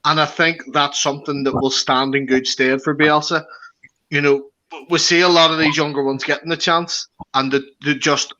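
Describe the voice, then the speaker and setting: Bright-voiced Belfast man, lo-fi. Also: on the high side, normal speed. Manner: lively, laughs as he talks. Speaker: podcast guest. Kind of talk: casual chat.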